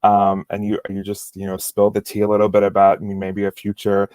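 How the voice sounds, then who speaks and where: A man's voice: fairly low pitch, completely flat delivery, brisk, darkish tone, clean tone, no echo. A man hosting a show, chatting casually and informally as he feels his way into questions. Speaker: variety show host, interviewer. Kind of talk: casual interview chat.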